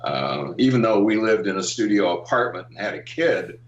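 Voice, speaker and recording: An older American man reminiscing in a casual interview, speaking haltingly with frequent pauses and ums. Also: lowish voice, animated. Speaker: interview guest, animation artist and art director. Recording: roomy, dull, distant call-like audio.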